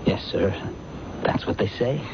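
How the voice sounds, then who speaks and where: An actor playing an earnest young research aide under suspicion in a radio mystery drama. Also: male voice, measured pace, noisy background, close to an everyday voice. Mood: deferential. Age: young adult. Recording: muffled old radio broadcast.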